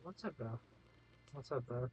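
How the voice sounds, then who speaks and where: A man's voice: mid-range voice, expressive, measured pace, a little mellow. A man giving casual live gameplay commentary, thinking aloud in a musing, hesitant way. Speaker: game streamer. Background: faint game music.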